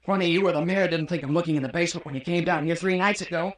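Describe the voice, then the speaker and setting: A man playing an earnest, excitable young hero in an old-time radio horror drama. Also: a little high, racing, reverberant, close to an everyday voice. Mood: intent. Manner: urgent, excitable. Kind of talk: 1940s radio horror acting.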